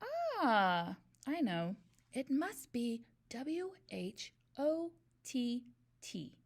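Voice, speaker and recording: A woman's voice: sits high, huge pitch swings, drawn-out words, heavily acted. A woman voicing a small, frightened schoolboy who answers nervously. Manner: nervous, hesitant.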